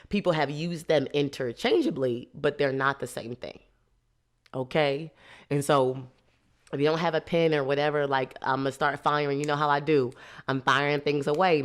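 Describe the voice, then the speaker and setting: A woman, hosting a podcast and explaining ideas in a lively, laughing, casual way. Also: low-pitched. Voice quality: nasal. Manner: lively, laughs a lot, teasing. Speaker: podcast host. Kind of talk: casual teaching talk.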